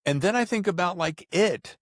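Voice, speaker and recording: A man hosting a podcast, chatting casually and slangily in an animated way as he interviews a guest. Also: high-pitched, moderate pace, dry recording, clean studio sound. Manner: animated, slangy, acts out reactions. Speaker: podcast host. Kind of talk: casual book interview chat.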